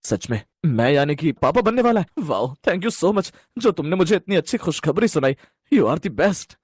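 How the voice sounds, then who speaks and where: A man's voice: fairly high pitch, big pitch swings, moderate pace, a little mellow, slightly rough, dry recording, studio quality. A man voicing an easygoing, cheerful young husband in a cartoon story, with a breezy, playful manner. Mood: delighted.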